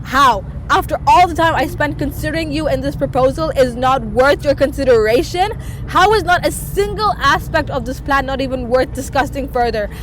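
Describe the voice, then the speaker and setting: Woman playing a high-voiced, defiant scientist. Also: moderate pace, slight background noise, natural voice, low-quality recording. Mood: indignant, defiant. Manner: indignant, articulate, insistent. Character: earnest, defiant woman scientist pleading with authority. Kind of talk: sci-fi audio drama acting.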